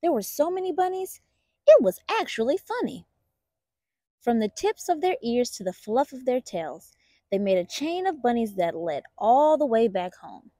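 A woman reading a children's picture book aloud as a storytime host, in an expressive, deliberate storyteller's manner. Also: fairly high pitch, no echo, studio quality.

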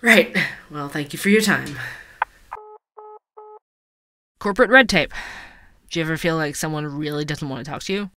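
A man's voice: on the high side, a little fast, pushed and bright, slight background noise. A man playing a sceptical young investigative podcaster in an audio drama, speaking with a dry, wry manner. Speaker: podcast host and narrator. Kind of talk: scripted audio drama narration.

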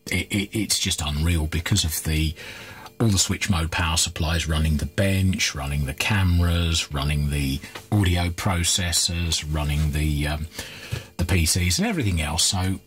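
A man's voice: deep voice, moderate pace. A man in his thirties casually chatting while repairing an old radio at his cluttered electronics workbench, rambling with fillers and restarts.

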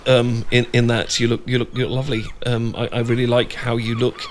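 A man's voice: medium pitch, little pitch movement, a little fast, slight background noise, lightly acted. A man with a British accent acting an awkward, easily flustered, bashful friend in a romantic ASMR audio roleplay, stammering and rambling as he goes. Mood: flustered, admiring.

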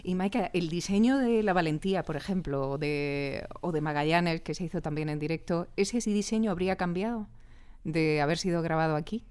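A woman's voice: lowish voice, a little fast, a little mellow, clean tone. A woman, interviewing conversationally and often interjecting her agreement. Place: radio drama studio.

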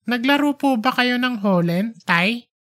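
A woman's voice: a little high, lively intonation, measured pace, fairly bright tone. A woman voicing a polite, innocent young schoolboy in an audiobook. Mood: innocent, concerned. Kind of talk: audiobook character reading.

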